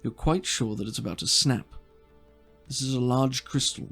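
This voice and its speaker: A male game master narrates a tabletop roleplaying scene in a measured way. His medium-pitched voice is husky with plenty of vocal fry, and soft music plays faintly behind him.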